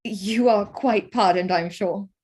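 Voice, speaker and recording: A woman playing a witty, teasing young gentlewoman in a period drama reading, wry and measured. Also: mid-range voice, slightly rough.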